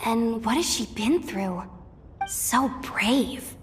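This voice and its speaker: High-pitched, jittery young tech tinkerer. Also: female voice, slow, a little hiss or hum. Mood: exasperated, wondering. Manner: nervous, expressive muttering to herself. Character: young female tech tinkerer, anxious, chatty, self-deprecating. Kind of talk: anime game voice acting.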